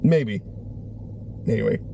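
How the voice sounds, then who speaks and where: Raspy-voiced man vlogging from a car. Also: fairly low pitch, slow pace, very noisy. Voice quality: raspy, strained voice. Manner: rambling, conversational, many restarts. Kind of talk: casual vlog chat.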